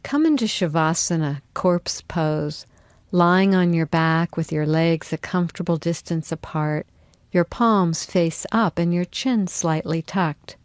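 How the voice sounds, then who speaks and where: Low, slow, mellow woman guiding meditation. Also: no room sound. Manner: soothing, unhurried, instructional. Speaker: yoga nidra teacher. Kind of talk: guided relaxation meditation.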